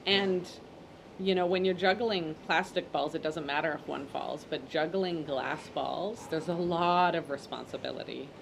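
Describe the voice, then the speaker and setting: Low-voiced woman interviewer, noisy background. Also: measured pace, vocal fry. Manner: engaged, affirming, uses metaphors. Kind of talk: interview conversation.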